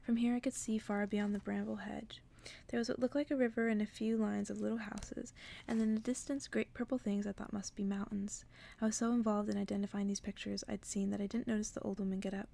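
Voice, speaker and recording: A woman softly reading a bedtime story in ASMR style, partly whispered, with casual asides. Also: medium pitch, little pitch movement, a little fast, vocal fry. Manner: hushed, drifting into casual asides. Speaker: host reading a book aloud on an ASMR channel.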